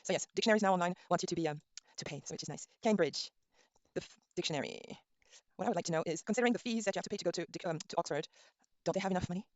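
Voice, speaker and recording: A woman's voice: on the low side, racing, darkish tone, no echo. Chatty woman teaching a live online lesson. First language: Norwegian. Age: middle-aged. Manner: chatty, spells words letter by letter, frequent ums. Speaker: language teacher streaming a class. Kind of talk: casual online lesson, thinking aloud while browsing.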